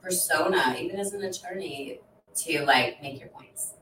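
A woman's voice: lowish voice, normal speed, sharp tone, slight background noise. A woman in her thirties chatting casually, with plenty of filler words. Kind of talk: casual chat. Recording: echoey room, mic at a distance.

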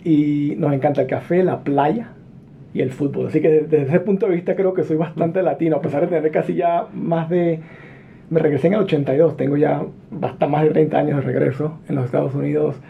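A man in his forties who grew up in Venezuela, telling his story as an interview guest in a relaxed, friendly way with frequent fillers. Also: fairly high pitch, a little fast, gravelly. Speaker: interview guest, business executive. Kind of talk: conversational interview answers. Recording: muffled phone line with faint noise.

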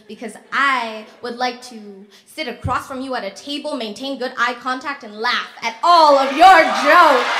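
A woman performing feminist spoken-word poetry in a theatrical, declamatory way that swings between soft and yelled. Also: fairly high pitch. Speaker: slam poet. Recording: echoey live stage, noisy room.

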